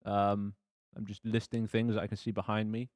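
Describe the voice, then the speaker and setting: A man's voice: on the low side, completely flat delivery, moderate pace, a little mellow, no echo, studio quality. A man casually running a tabletop game as game master, hesitant, self-deprecating and prone to swearing.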